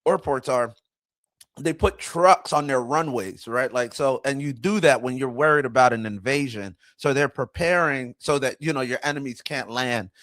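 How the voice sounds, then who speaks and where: A man's voice: medium pitch, normal speed, a bit bright, no echo. A man, hosting a casual livestream, rambling and greeting his chat as he goes. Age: 40s. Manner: rambling, talks to chat, self-correcting. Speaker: livestream host.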